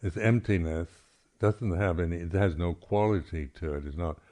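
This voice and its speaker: A man, a Buddhist monk teacher, giving an unscripted, reflective talk at a meditation retreat, halting and often clearing his throat. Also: sits low, a little mellow.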